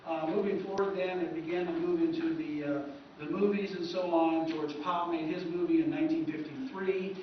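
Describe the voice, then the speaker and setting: A man presents an informal history talk in a very echoey room, picked up from well away. His voice sits a little high, and he rambles with stammers and false starts. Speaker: convention presenter, radio historian. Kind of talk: informal lecture to a live audience. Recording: roomy echo, distant mic, dull, hissy.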